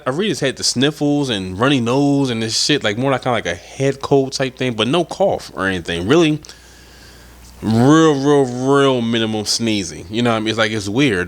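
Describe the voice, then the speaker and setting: A man's voice: on the low side, fairly bright tone. Laid-back man with a stuffy cold. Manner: rambling, profane, laid-back. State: stuffy nose from a head cold. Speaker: podcast host. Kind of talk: casual solo podcast talk.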